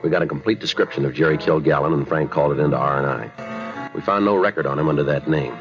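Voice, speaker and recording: An actor playing a terse, deadpan American police detective in a 1950s radio drama, speaking in a clipped, procedural way. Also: male voice, extremely low pitch, fast talker, loud music behind the voice. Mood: deadpan, factual. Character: terse, matter-of-fact male police detective narrating a case. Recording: dull 1950s radio recording.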